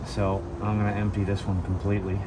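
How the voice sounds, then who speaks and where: A man with a fairly low voice, congested and sniffly from a cold, gives a hands-on DIY tutorial. He speaks casually and plainly, explaining each step as he works. Clear background noise from the work surrounds his voice. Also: completely flat delivery, fast. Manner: plain-spoken, talking through each step. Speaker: DIY car repair host. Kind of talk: hands-on tutorial, casual talk while working.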